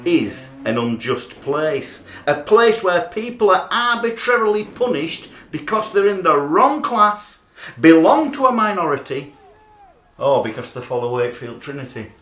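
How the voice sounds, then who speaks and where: A man's voice: a little high, very animated, measured pace, a bit bright. A man playing an earnest, obsessive rugby fan in a radio play, dramatic and aggrieved. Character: earnest, obsessive male rugby league fan, trainee social worker. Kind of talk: radio play monologue and dialogue. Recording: roomy amateur recording, faint background noise.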